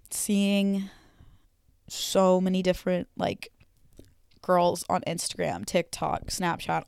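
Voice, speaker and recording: A young woman talks through her solo podcast in a loose, rambling way, full of ums and casual swearing. Her voice sits a little low and comes across smooth and clear, with a slight hoarseness. The sound is dry and close, with no room echo. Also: darkish tone. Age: young adult. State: voice a bit hoarse. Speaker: podcast host.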